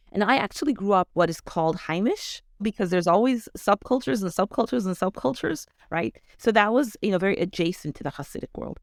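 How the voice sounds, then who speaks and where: A woman's voice: on the low side, no room sound, studio quality. A middle-aged woman from Canada with a North American accent, giving a conversational personal account as an interview guest. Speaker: interview guest, education advocate.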